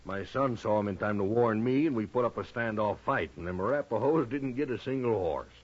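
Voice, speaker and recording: An American actor playing an uneasy rural Western rancher in a 1950s radio drama, husky, drawling and halting. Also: male voice, fairly low pitch, lightly acted. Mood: worried. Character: folksy, uneasy frontier rancher and father, hesitant. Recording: dull lo-fi old radio recording.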